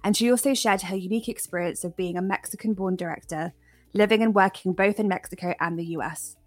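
A woman's voice: mid-range voice, fast, soft background music. A woman hosting a podcast interview, upbeat and gushing, laughing often as she introduces her guests. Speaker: podcast host.

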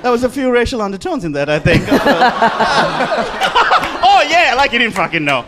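A Sri Lankan-born comedian with an Australian-tinged accent, joking self-deprecatingly on a live podcast. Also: male voice, very high-pitched, big pitch swings, normal speed, over a noisy crowd, heavy background noise. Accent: Australian with Sri Lankan traces. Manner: self-deprecating, sweary, laughing. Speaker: comedian guest. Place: live venue with audience.

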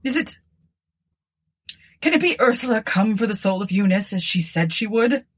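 Woman putting on panicked guilty woman, raised pitch, urgent exclamations, gothic storybook drama. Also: animated, normal speed, husky. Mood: fearful. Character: frightened, guilty, hysterical woman on the edge of madness.

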